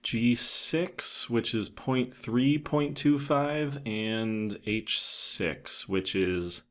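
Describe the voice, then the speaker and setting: A man in his thirties giving a casual, matter-of-fact software tutorial, thinking aloud as he goes. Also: medium pitch, extremely slow. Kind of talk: casual screen-recorded tutorial narration.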